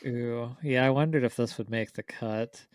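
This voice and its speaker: Male co-host, casual chat, clear smooth voice, little pitch movement, dry recording. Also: normal speed, no room sound. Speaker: podcast co-host.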